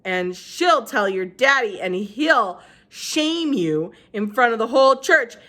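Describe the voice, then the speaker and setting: A woman voicing a taunting, tattling young schoolboy in a needling, drawn-out sing-song. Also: on the high side, lively intonation, sharp tone, pitched above the performer's own voice, exaggerated voice. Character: taunting, tattling small-town schoolboy bully.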